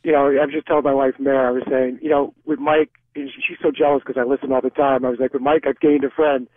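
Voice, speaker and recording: Fast-talking man on a tinny phone line. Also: mid-range voice, little pitch movement. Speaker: radio call-in listener. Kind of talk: casual chat.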